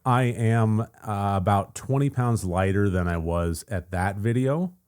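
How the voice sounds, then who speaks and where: An American man with a gravelly, just-woken-up voice, chatting casually in a vlog update, rambling with fillers and soft laughs. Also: lowish voice, unhurried. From United States. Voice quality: gravelly morning voice. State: just woken up, voice deeper than usual. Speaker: channel host.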